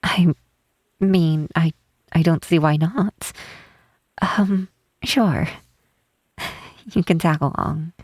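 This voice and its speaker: Woman acting a low, breathy, gentle half-troll cleric. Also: dry recording, clean studio sound, heavily acted. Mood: flustered, apologetic. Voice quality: soft, breathy. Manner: hesitant, halting, tender. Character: tall gentle half-troll cleric woman, shy, wistful former lover. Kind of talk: ASMR roleplay, intimate softly spoken acting. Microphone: close microphone, dry.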